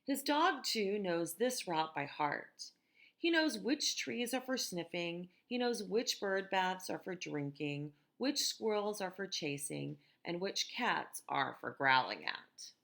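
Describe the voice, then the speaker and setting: An American woman reading a picture book aloud to children in a gentle, expressive teacher's manner, with conversational asides. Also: lowish voice, takes it slowly, gravelly. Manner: gentle, expressive, asks listeners questions.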